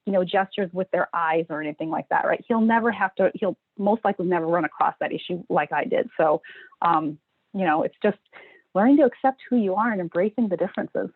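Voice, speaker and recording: A woman's voice: fairly low pitch, dry recording. A middle-aged woman telling her own story on a panel, reflective, with many fillers. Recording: tinny phone-like dry sound.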